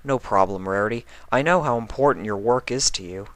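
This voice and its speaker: A man's voice: lowish voice, brisk, dry recording, pitched above the performer's own voice, close to an everyday voice. A man voicing an earnest, protective young male pony in a plain-spoken manner for a cartoon fan story.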